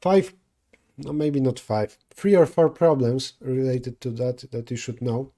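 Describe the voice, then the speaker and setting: Mellow-voiced Polish man thinking aloud. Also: mid-range voice, unhurried. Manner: thinking aloud, self-correcting mid-sentence. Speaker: streamer solving coding problems. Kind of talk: live coding stream, answering chat.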